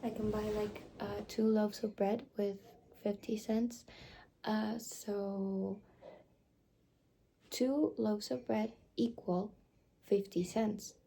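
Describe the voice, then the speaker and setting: A young woman doing a whispered ASMR roleplay, speaking softly and hesitantly with many fillers. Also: medium pitch, fairly even intonation, slow, creaky, slight background noise. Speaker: ASMR creator.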